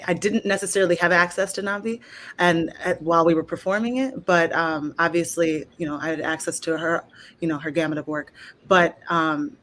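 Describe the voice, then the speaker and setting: A woman's voice: low-pitched, a bit bright, slight background noise. A woman actor interviewing a guest in a casual, appreciative conversational style.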